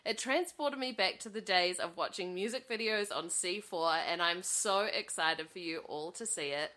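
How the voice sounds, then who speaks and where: A woman's voice: fairly low pitch, normal speed, sharp tone. A woman hosting an interview, gushing and upbeat as she introduces and questions a guest. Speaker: radio host.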